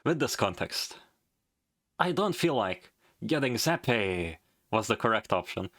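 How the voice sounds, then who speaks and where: A man's voice: on the low side, sing-song, unhurried, a bit bright, no echo, studio quality. A man narrating in a casual, scripted video style, with wry, irreverent, slangy joking asides. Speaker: video essayist.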